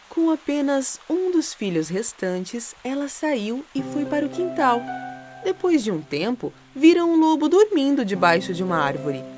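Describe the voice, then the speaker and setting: A woman narrating a scripted children's storybook in an expressive, dramatic way. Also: mid-range voice. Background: music.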